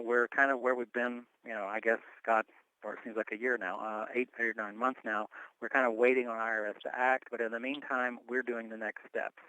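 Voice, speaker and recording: A man with a clear, smooth voice talks through an update on a telephone conference call. He explains things step by step in an informal, conversational report. The sound is close and dry, at a middling pitch. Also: bright, forceful tone, no echo, rough recording. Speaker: board member reporting on tax filing. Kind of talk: informal spoken report at a meeting.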